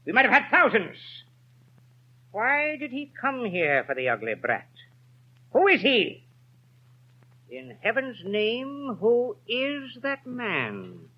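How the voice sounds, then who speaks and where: An actor playing a greedy, scheming innkeeper, agitated and scornful, in a 1930s radio drama style. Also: male voice, extremely high pitch, wildly swooping pitch, slow, pauses often, exaggerated voice. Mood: scornful, desperate. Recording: muffled 1930s radio recording.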